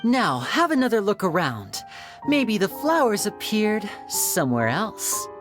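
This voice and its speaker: A man voicing a suave, wistful young male stage magician in a video game, by turns theatrical and playful or soft and reflective. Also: sits high, wildly swooping pitch, unhurried, exaggerated voice. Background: game music.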